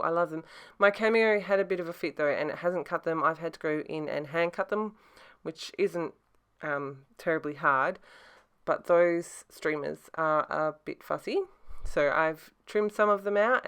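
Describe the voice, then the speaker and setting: Soft-spoken woman with a scratchy voice. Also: on the low side, smooth. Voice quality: soft-spoken, hesitant with many fillers. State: scratchy, throat-clearing voice. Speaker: scrapbooking crafter. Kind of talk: casual craft process voice-over.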